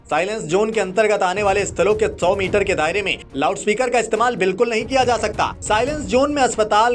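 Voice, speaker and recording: A man with a high-pitched voice narrates a news explainer. He reads from a script in the role of a video reporter, with music playing faintly behind him.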